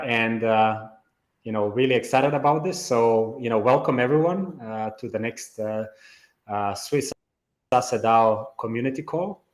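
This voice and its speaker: A man hosting an online community call, speaking informally in an enthusiastic, effusive way. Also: medium pitch.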